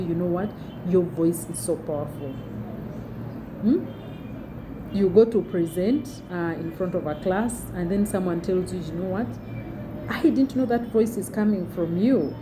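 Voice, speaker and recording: A young adult woman with a big, deep voice tells a personal story to her phone camera in a chatty way with many fillers. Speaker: vlogger. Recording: noticeable background noise.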